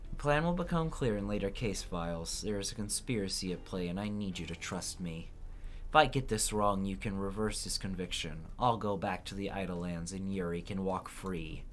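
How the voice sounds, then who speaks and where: A man's voice: deep voice, normal speed, faint music behind, lightly acted. A man as an earnest, determined investigator. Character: determined female investigator laying out accusations.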